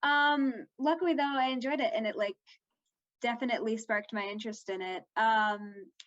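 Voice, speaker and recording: A girl of fourteen with a fairly high voice talks casually in an interview. She is chatty and drops "like" into her sentences often. The recording sounds somewhat dull and lo-fi. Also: moderate pace, a bit bright. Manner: chatty, peppers talk with "like". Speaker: young actress being interviewed.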